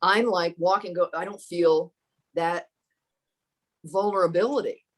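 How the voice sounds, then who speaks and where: A woman with a fairly low voice telling her personal story as a podcast guest, thoughtful and earnest, often restarting her sentences. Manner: thoughtful, halting, with many restarts.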